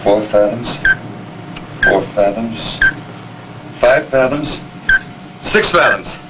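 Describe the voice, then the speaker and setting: A man's voice: mid-range voice, takes it slowly, lightly acted. An actor playing a wary, dutiful submarine crewman in a 1950s television war drama. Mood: tense, focused. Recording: very noisy, dull, distant film soundtrack.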